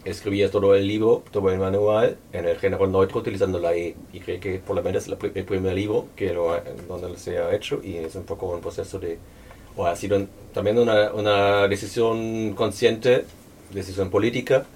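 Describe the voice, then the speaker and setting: A non-native Spanish speaker presenting their book at a public talk, speaking hesitantly and thoughtfully with many pauses and fillers. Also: deep voice, fairly even intonation, darkish tone, recorded from a distance, slight background noise. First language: not Spanish. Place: local venue at a public presentation.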